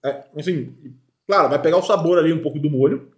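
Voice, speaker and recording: Brazilian guy hosting a food show, talks super fast, kinda high voice, chatty. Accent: Brazilian Portuguese. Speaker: food-tasting show host. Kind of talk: casual banter while tasting food. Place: small studio at a table. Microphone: desk microphone between two speakers.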